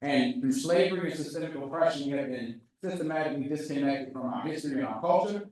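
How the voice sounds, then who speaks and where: A man's voice: fairly high pitch, fast talker, a little mellow. A man hosting a live event, introducing the next speaker in a casual, chatty way and talking straight to the crowd. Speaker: event host introducing presenters. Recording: echoey hall, distant mic, faint noise.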